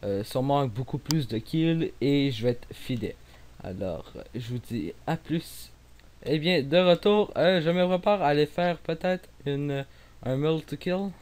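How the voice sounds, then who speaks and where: A man's voice: fairly high pitch, expressive, a little slow, darkish tone. A young man with a Québécois French accent, commenting casually on his own gameplay, rambling with many fillers and restarts. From Quebec. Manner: rambling, many fillers and restarts, sometimes drops to near mumble. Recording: faint hiss.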